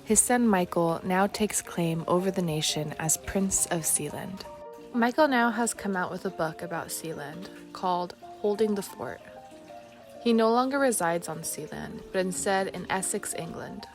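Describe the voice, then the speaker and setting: A woman's voice: lowish voice, expressive, normal speed, music in the background. A woman narrating a documentary from a script.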